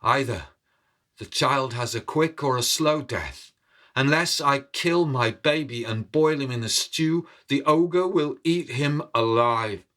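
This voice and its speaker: A man's voice: medium pitch, lively intonation, slow, sharp tone, voice pushed up. A man voicing a desperate, fearful young mother held captive, urgent and distressed, in a theatrical told folk tale. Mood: frantic, despairing.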